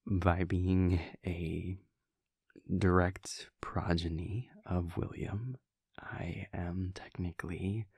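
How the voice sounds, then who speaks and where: A man playing an affectionate, bashful vampire prince boyfriend in an intimate roleplay audio, breathy and husky, with a halting, softly teasing manner. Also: deep voice, no room sound, exaggerated voice. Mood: teasing, hesitant.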